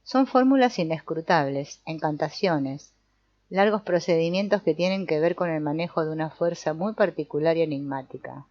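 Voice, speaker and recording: A woman voicing an old male sorcerer teacher, calm and didactic, speaking in a measured, explanatory way with the odd small restart. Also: low voice, no echo. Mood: explaining. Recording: dull, muffled audio.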